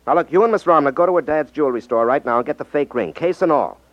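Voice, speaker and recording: An actor playing a wry, cocksure magician detective in a 1950s radio drama. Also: male voice, a little high, lively intonation, fast talker, dry recording, natural voice. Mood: brisk, firm. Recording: dull, muffled 1950s radio recording.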